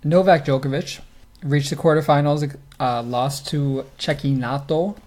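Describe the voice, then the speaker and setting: Male podcast co-host, slightly high-pitched voice, informal conversational sports commentary. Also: steady tone, a little slow, darkish tone.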